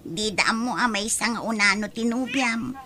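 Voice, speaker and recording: Bright, anxious young Ilocano girlfriend. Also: on the high side, unhurried, slight background noise. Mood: anxious, loving. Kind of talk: romantic audio drama acting.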